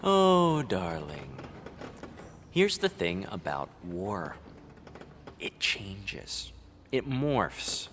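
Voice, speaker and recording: A man's voice: low voice, very animated, extremely slow, pauses often, a bit bright, slight background noise, exaggerated voice. A man playing a smug, taunting vampire villain in an audio drama, with a mocking, theatrical drawl. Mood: silky menace.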